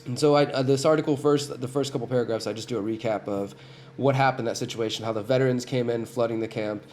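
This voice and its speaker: A man's voice: medium pitch, steady tone, fast talker, a little mellow, slight background noise. A man with a cold hosting a weekly live stream, talking earnestly and directly to his viewers, often restarting his sentences. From United States. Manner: earnest, talks straight to viewers, frequent restarts. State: has a cold. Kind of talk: casual live-stream commentary.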